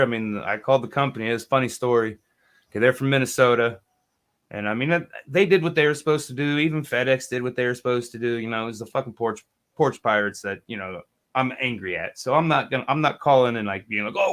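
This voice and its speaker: Male host, mid-pitch, laid-back storyteller who acts out the people in his stories. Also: a little fast, a bit bright. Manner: laid-back storyteller, acts out phone calls in put-on voices. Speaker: podcast host. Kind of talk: casual chat, storytelling.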